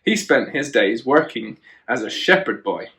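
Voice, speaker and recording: A man's voice: medium pitch, lively intonation, measured pace, creaky, sharp tone. A man reading a children's storybook aloud, with chatty asides to a child. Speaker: children and youth worker, storyteller.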